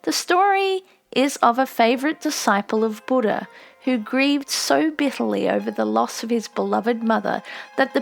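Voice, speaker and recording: A woman reading a literary memoir as an audiobook in a measured storytelling delivery. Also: fairly high pitch, gravelly. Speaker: audiobook narrator.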